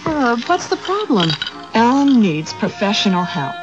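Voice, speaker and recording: Radio drama: firm, practical wife, slightly high insistent voice on a slightly muffled recording. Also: female voice, sing-song, a little slow. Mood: exasperated. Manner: insistent, matter-of-fact. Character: exasperated, practical wife, firm but concerned. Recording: dull sound, music behind.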